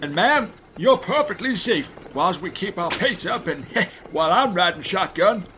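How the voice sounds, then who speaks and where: Garrulous old stagecoach guard, very high-pitched and sharp, folksy frontier talker for a Western radio play. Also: male voice, lively intonation, unhurried, a little hiss or hum, heavily acted. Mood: cheerfully chatty. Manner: rambling, folksy frontier drawl. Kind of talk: Western audio drama acting.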